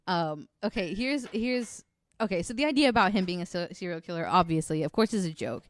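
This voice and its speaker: Low-pitched woman chatting on a podcast. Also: animated, fairly quick. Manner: giggly, informal, sweary. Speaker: podcast host. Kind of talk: casual true crime podcast talk.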